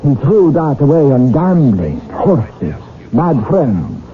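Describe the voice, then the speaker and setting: A man acting in a 1970s radio drama as a guilt-ridden older professor, theatrical and halting in his delivery. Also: mid-range voice, sing-song. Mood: sombre confessing. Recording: tinny, band-limited 1970s radio recording.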